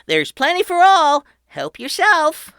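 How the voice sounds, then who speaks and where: A woman voicing an excited young boy who shows off his inventions, calling out like a showman. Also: slow pace, dry recording, clean studio sound, heavily acted. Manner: shouty and showman-like, booming announcements.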